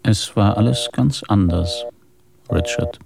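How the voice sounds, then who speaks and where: A man playing a measured, probing police inspector in a radio drama, husky and deliberate in his speech. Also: on the low side. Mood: matter-of-fact. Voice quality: husky, gravelly.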